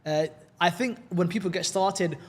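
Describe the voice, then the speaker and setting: A man's voice: sits high, moderate pace, husky. A man from London with a London accent, a guest in a casual podcast interview. He talks in an upbeat, chatty way and often repeats words as he starts a sentence. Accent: London English. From England.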